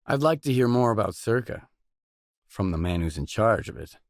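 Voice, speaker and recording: An actor playing a gruff, guarded, hard-bitten mercenary in a video game, speaking in terse, blunt, businesslike lines. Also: male voice, on the low side, expressive, moderate pace, no echo, clean studio sound. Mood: inquisitive, guarded.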